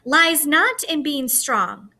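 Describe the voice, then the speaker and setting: A woman voicing a dignified male school principal giving an earnest, measured ceremonial speech in a storybook reading. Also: sits high, expressive, sharp tone, voice pushed up, heavily acted. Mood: solemn, moved.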